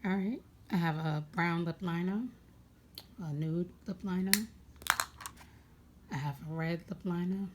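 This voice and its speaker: A young woman with a raspy voice chatting casually at home, rambling with many fillers as she goes through her makeup bag. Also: on the low side, fairly even intonation, a little slow, pauses often, heavy background noise. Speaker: beauty vlogger.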